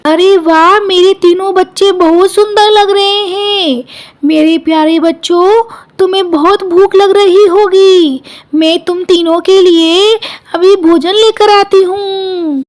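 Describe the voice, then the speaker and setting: A tender mother bird from a children's cartoon, acted by a woman, with a very high voice. She speaks in a caring, motherly way, as in a simple animal fable. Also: steady tone, slow pace. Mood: delighted, tender. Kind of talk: Hindi cartoon story dialogue.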